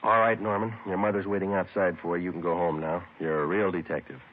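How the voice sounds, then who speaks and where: A man's voice: low voice, little pitch movement, fast, slight background noise, close to an everyday voice. A man with an American accent playing a clipped, matter-of-fact yet courteous police sergeant in a 1950s radio detective drama. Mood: kindly, brisk. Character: brisk, businesslike male police sergeant narrating his case. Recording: tinny old radio with loud music.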